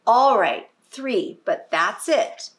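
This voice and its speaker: A woman voicing a loving but worn-out storybook mother who is firm about bedtime, with drawn-out emphasis. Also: a little high, expressive, a bit bright. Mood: giving in.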